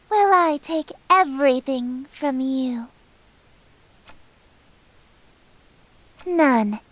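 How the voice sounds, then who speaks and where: A woman's voice: sits high, no echo. A woman voicing a haughty, theatrical female eldritch deity in an anime-style visual novel game. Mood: commanding, smug. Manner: theatrical, domineering, grandiose.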